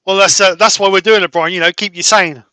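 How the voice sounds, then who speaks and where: A man's voice: sits high, steady tone, fast talker, sharp tone, no room sound. A man hosting an online quiz, reading out questions and teasing the players in an upbeat manner. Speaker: quizmaster.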